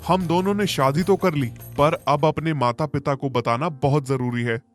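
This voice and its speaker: A man voicing an earnest, worried educated young village son in an animated moral story. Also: on the high side, measured pace, soft background music, natural voice. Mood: concerned, devoted.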